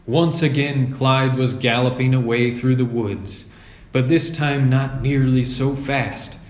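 A man's voice: medium pitch, steady tone, takes it slowly, a little mellow. A man reading a children's story aloud as scripted narration. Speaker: storybook reader. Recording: roomy echo.

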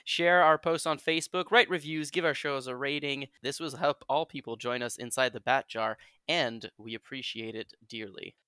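A man's voice: medium pitch, fairly even intonation, normal speed, sharp tone, smooth, no room sound. A man co-hosting a podcast in a conversational style. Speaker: podcast co-host.

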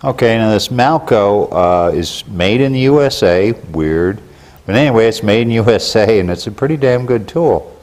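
Middle-aged American male how-to presenter, lowish voice, plain-spoken and folksy, casual demo delivery on a lapel mic. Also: lively intonation, moderate pace, darkish tone. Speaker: presenter of how-to videos for a store. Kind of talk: casual tool demonstration to camera. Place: plain indoor workshop room.

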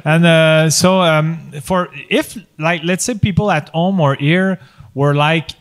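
Comedian in his 40s, rambly, kinda high voice, handheld mic on stage. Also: steady tone. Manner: rambling, halting. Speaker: comedy podcast co-host. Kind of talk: improv comedy banter. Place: comedy club stage, indoors.

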